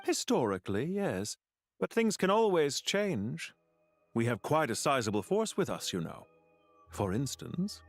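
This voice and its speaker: Game villain, courteous schemer, insinuating and deliberate, expressive pitch, music underneath. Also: male voice, heavily acted. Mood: smug. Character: smooth, calculating male villain, courteous and menacing. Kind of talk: scripted video game voice acting.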